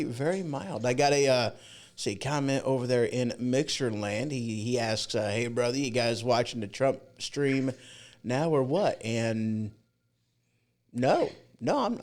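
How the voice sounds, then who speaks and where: A man's voice: medium pitch, animated, a little slow, a little mellow. A man hosting a podcast livestream in a casual, rambling, informal way. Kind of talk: casual chat. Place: home podcast studio.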